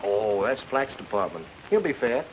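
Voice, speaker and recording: An actor playing a glib, wisecracking private detective in an old-time radio drama. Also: male voice, on the low side, sing-song, normal speed. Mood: brisk, businesslike. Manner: glib, flippant. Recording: noisy old radio recording.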